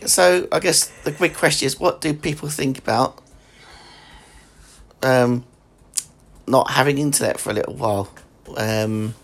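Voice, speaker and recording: A man's voice: mid-range voice, a little slow, pauses often, slight background noise. A man, hosting a casual podcast chat and laughing often. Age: 40s. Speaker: podcast host.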